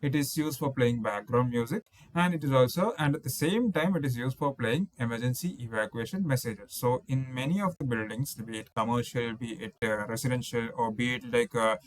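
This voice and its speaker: A man's voice: mid-range voice, a little fast, few pauses, low-quality recording. A man giving an explanatory technical lecture as an instructor, going through points methodically and often rephrasing them.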